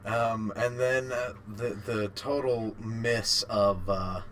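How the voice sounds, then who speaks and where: A man's voice: lowish voice, steady tone, slow, a bit bright, a little hiss or hum. A man co-hosting a casual film chat, jokey and given to riffing interjections. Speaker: podcast co-host.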